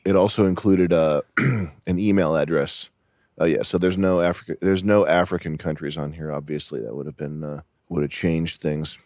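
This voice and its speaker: A man co-hosting a casual comedy podcast in a deadpan manner. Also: very deep voice, moderate pace, no room sound. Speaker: podcast co-host.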